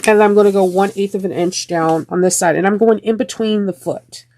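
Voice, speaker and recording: A woman hosting a sewing tutorial, chatting through each step with frequent ums and restarts, her voice slightly deeper and congested from a sinus infection. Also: fairly even intonation, fairly quick, mellow.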